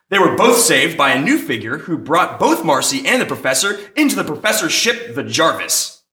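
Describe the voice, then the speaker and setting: A man with a bright, lively, swooping voice narrates a spoof radio serial live. He is theatrical and slips into exasperated asides, with noticeable room sound around him. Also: on the high side, reverberant. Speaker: sketch narrator. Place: comic shop, live before an audience.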